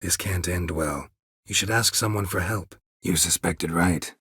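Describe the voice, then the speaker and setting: A gruff, world-weary mercenary monster slayer from a fantasy video game. His voice is very deep and heavily husky, almost all gravel. He speaks in terse, sardonic, deadpan phrases, recorded dry with no room sound. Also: unhurried, no room sound, exaggerated voice. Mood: deadpan, wry. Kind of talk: video game character dialogue.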